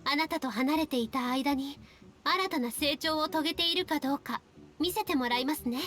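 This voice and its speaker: Poised young leader, high voice. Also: female voice, fairly bright tone. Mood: composed, inviting. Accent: Japanese. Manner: formal, polite, measured phrasing. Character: poised, composed young female military leader, courteous and authoritative. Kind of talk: anime game voice acting. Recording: game dialogue over background music.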